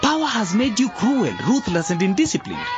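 A man acting a stern, authoritative football coach in a radio drama, forceful and lecturing. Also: high-pitched, sing-song, a little fast, lo-fi sound, music in the background. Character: stern, authoritative male football coach scolding his team. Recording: noisy outdoor crowd ambience.

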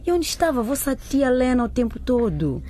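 An actress playing a tender mother in a radio drama, hushing and comforting her children. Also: sits high, very animated, moderate pace, slight background noise. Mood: soothing, tender. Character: tender, soothing mother of young sons.

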